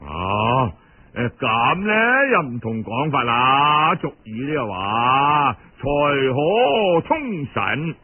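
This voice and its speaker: A man voicing a pompous, greedy older Cantonese uncle in a storytelling performance, full of exclamations and drawn-out interjections. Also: mid-range voice, very animated, husky, narrow, tinny audio, voice pushed down, full character voice. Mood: ingratiating, scheming. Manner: exclamatory, moralizing then wheedling, drawn-out interjections.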